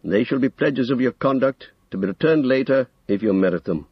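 A man plays a grave old male wizard from a fantasy storybook, stern and full of authority. The voice is husky and weathered, at a medium pitch. It is recorded dry and a little dull. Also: animated, brisk, no echo. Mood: grave, firm.

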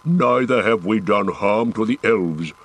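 A man reading an audiobook, voicing a proud and stubborn male dwarf warrior in a gruff, defiant manner. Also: fairly low pitch, measured pace, a bit bright, exaggerated voice. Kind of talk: audiobook character voice.

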